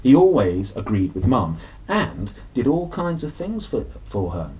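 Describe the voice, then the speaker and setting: A man's voice: mid-range voice, big pitch swings, a little slow, dark tone, a little hiss or hum, rough recording. A man reading a children's story aloud expressively, with dramatic emphasis and playful delivery. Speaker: storybook reader. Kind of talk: animated children's story narration.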